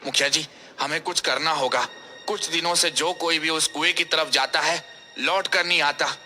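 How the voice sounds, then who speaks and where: A man's voice: on the high side, steady tone, moderate pace, pushed and bright, soft background music. A man voicing a concerned, grumbling villager in a cartoon folk tale, with an insistent, complaining manner. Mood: worried insistence.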